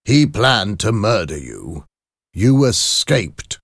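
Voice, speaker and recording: A man voicing a grave, concerned lawyer in a storybook, with a measured, probing manner. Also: lowish voice, very animated, fairly bright tone, no room sound, clean studio sound, pitched above the performer's own voice, heavily acted. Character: grave, concerned male lawyer, questioning and suspicious. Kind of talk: audiobook character acting.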